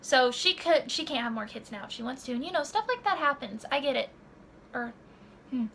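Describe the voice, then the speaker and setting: A woman's voice: high voice, expressive, fairly quick, fairly bright tone, a little hiss or hum. A woman in her early twenties chatting casually to her camera at home, rambling with many fillers and restarts. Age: 20s. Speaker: vlogger. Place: home room.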